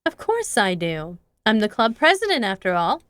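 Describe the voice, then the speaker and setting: A woman voicing a poised, friendly teenage club president who speaks calmly and a little teasingly. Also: mid-range voice, normal speed, clear, resonant tone, no room sound. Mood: friendly, knowing.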